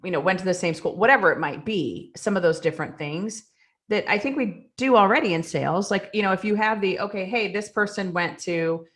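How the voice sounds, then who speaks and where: A woman's voice: medium pitch, fairly quick, a bit bright. A woman co-hosting a podcast who explains points with examples and moves the talk from topic to topic. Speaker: podcast co-host. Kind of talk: casual conversation.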